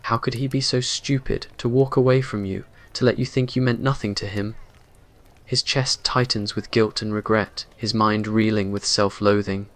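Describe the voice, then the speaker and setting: A man's voice: on the low side, fairly even intonation, moderate pace, fairly bright tone. A man reading scripted dramatic narration for a romantic ASMR roleplay in an earnest, brooding way. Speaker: story narrator.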